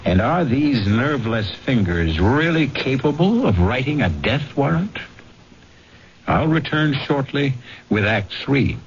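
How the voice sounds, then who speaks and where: A man hosting and narrating a radio mystery in a measured, ominous, theatrical way. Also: mid-range voice, big pitch swings, a little hiss or hum. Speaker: host and narrator. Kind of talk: dramatic scripted radio narration. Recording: muffled old radio recording with music.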